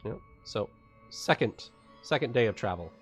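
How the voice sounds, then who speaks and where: A man running a livestreamed tabletop game as game master, narrating casually and haltingly, often repeating his phrases. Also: on the low side, expressive, breathy edge, faint music behind.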